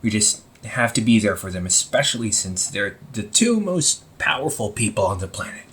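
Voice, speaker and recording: Casting: male actor as wry, anxious young villain, low voice with big pitch swings, casual and exasperated, for audio roleplay. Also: very animated, fairly bright tone. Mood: wry, resigned. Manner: informal, sighing, halting, exasperated. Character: anxious, wry young supervillain confiding in a sibling. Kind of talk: amateur audio roleplay, casual conversational acting.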